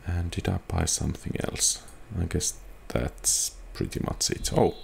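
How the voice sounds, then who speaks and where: A man's voice: low-pitched, a little slow, creaky, darkish tone, a little hiss or hum. A man giving casual gameplay commentary, thinking aloud as he sorts through items. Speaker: gameplay streamer.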